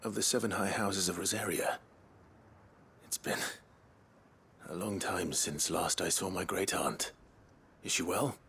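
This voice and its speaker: A man's voice: low-pitched, fairly even intonation, unhurried, vocal fry, long pauses, fairly bright tone, a little hiss or hum, heavily acted. An actor playing a grim, resolute noble swordsman in a video game, restrained and terse. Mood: guarded, steady. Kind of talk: video game cutscene acting.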